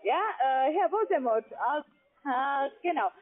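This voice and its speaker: Really high voiced telesales lady, reading her pitch down a tinny phone. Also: animated, unhurried, soft background music. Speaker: call centre sales agent. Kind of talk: scripted phone sales pitch. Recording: muffled tinny phone line.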